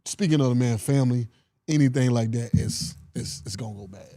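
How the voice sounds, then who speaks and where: A man's voice: mid-range voice, fairly quick, dark tone, no echo, studio quality. A man co-hosting a podcast, telling stories in loose casual chat with playful mock anger. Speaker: podcast co-host.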